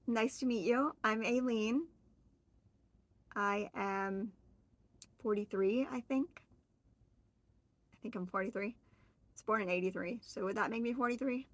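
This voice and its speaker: Woman, forties, slightly high voice, dry close sound, chatty, rambling, friendly. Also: no echo. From Oregon, US. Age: 40s. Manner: chatty, rambling, friendly, with fillers and self-corrections. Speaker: vlogger. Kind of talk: casual solo chat to camera.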